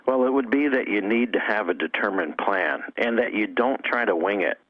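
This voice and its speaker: A man's voice: lowish voice, moderate pace, no room sound. A man giving instructional interview answers as a coach and trainer. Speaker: network marketing coach and trainer. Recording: dull, dry lo-fi audio.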